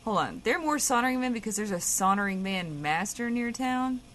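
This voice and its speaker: A woman's voice: fairly high pitch, lively intonation, a little fast, fairly bright tone, a little hiss or hum. A woman playing a sardonic, impatient radio co-host in scripted comedy banter. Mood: dry, probing.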